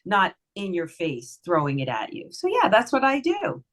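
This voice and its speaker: A woman's voice: wildly swooping pitch, moderate pace. Chatty smiling-voiced woman voice actress. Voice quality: lower voice for a woman. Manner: chatty, friendly, giggly, smiling tone. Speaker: voice actress guest. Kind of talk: casual podcast interview.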